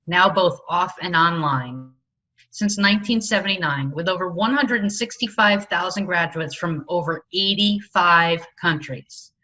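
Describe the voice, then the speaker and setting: A woman hosting, reading a formal prepared introduction of a guest in a measured way. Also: fairly low pitch, bright, forceful tone, highs cut off.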